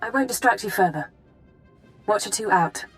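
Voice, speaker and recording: A woman's voice: mid-range voice, animated, moderate pace, soft background music, close to an everyday voice. A woman playing a controlled, efficient intelligence handler in a video game, speaking in a clipped, formal way. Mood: urgent, efficient. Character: brisk, clinical, controlled female intelligence handler. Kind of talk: video game cutscene acting.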